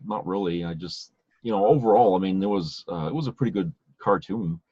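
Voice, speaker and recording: Low, slightly husky man on a dull mic. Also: brisk, dry recording. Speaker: podcast co-host. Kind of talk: casual chat.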